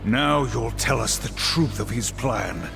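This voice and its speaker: Casting: solemn game warrior, grim and formal, gravelly, deliberate delivery. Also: male voice, medium pitch, animated. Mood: vengeful resolve. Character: grim, stern male horseman warrior, solemn and vengeful. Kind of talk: theatrical video game acting. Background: music.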